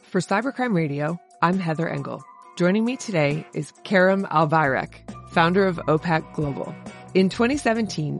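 A woman hosting a podcast, reading prepared introductions and putting questions to a guest. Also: deep voice. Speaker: podcast host, interviewer.

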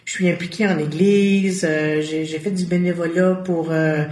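A woman's voice: lowish voice, steady tone, normal speed, a little mellow. A woman with a Québécois French accent, a guest in an interview, talking earnestly and searching for her words as she goes. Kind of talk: casual conversational interview. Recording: roomy echo.

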